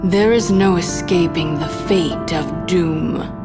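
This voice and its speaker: A woman's voice: fairly low pitch, slow. A woman playing a haughty, menacing villainess of darkness in a video game, speaking in a grandiose, taunting manner. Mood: ominous disdain. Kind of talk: scripted video game drama acting. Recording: music under the voice.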